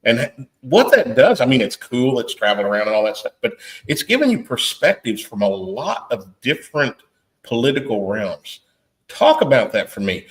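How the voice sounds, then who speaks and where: Male podcast host, friendly and chatty, lively pitch. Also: moderate pace, poor audio. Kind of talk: podcast interview.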